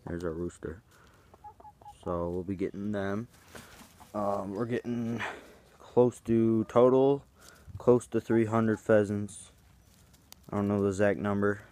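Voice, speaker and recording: Slow, low-voiced man touring his farm. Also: steady tone, mellow. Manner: rambling, hesitant, unsure of plans. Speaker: farm vlogger. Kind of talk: casual walkaround tour. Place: outdoors on a farm yard and woods.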